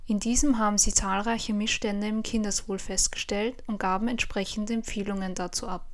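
A woman reading scripted news narration as a radio reporter. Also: mid-range voice.

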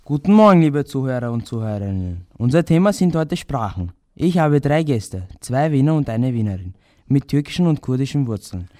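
A man's voice: medium pitch, sing-song, fairly quick, dark tone. A sixteen-year-old boy from Vienna hosting a school radio interview, reading out formal introductions and questions. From Vienna, Austria. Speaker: student radio host.

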